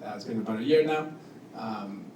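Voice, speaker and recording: A man's voice: on the low side, brisk, a little mellow. A man from Cuba and Costa Rica gives an informal, somewhat hesitant introduction as a guest speaker at a panel talk. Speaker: guest speaker, product designer. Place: indoor event room with audience. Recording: echoey, noisy room, distant microphone.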